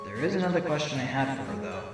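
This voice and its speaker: A man's voice: medium pitch, very animated, fast talker, lightly acted. Radio-play fox attorney male actor: wry, musing, distant voice with long reverb. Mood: questioning, wry. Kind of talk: audio drama acting with inner monologue. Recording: very echoey, distant voice with music.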